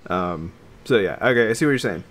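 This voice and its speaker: A man co-hosting a podcast in casual conversation, with a somewhat halting, hedging delivery. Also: fairly low pitch, animated, a bit bright. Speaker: podcast co-host. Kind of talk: casual chat. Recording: noticeable background noise.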